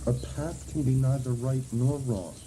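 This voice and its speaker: A man giving a reflective, scripted reading in a measured literary style. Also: medium pitch. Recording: noisy recording with hissing, rain-like sound.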